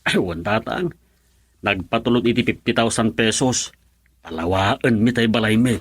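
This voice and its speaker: An actor with an Ilocano accent playing a cheerful married father in a radio drama, with a hearty, expressive delivery. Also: fairly low pitch, normal speed, husky, dry recording. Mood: friendly, hearty. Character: cheerful married father, husband of an overseas worker.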